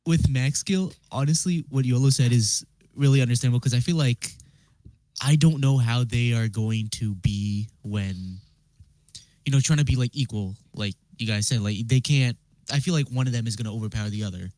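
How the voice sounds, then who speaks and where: A man on a podcast panel, speaking informally and a little haltingly, with frequent "like". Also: medium pitch, a little mellow, no room sound. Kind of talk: casual chat.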